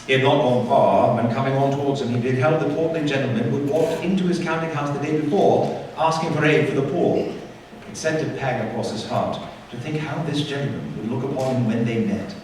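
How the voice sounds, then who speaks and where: A man narrating a radio play in an expressive, theatrical storybook style. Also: medium pitch, brisk. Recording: very echoey hall, distant mic, faint noise.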